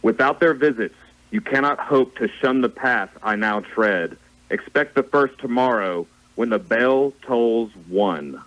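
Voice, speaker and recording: A doom-laden male ghost, acted by a man in a radio drama. He laments and warns in a solemn, declamatory way, at a middle pitch. The broadcast sound is a little dull. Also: measured pace, fairly bright tone, lightly acted. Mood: solemn warning. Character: mournful, doom-laden male ghost of a dead business partner. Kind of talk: theatrical radio play acting.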